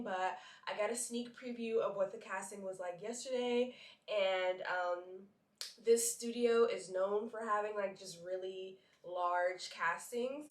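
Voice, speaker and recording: A young woman in her twenties from North Carolina, chatting casually to her camera in an upbeat, filler-heavy way while congested and a little nasal from a cold. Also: medium pitch. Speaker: model and vlogger. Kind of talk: casual vlog chat to camera. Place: her apartment and city streets. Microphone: handheld compact camera.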